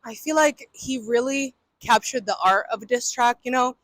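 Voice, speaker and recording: A woman's voice: on the high side, normal speed, a bit bright, a little hiss or hum. A woman answering a street interview outdoors, talking casually but emphatically as she builds her point. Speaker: interviewee, passer-by.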